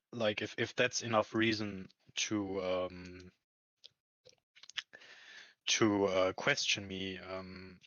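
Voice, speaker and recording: Male, lowish pitch, hesitant conversational delivery, dull lo-fi room recording. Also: sharp tone. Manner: hesitant, halting, searching for words. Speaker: employee talking about a work contract. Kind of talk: casual conversation. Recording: muffled lo-fi, echoey room.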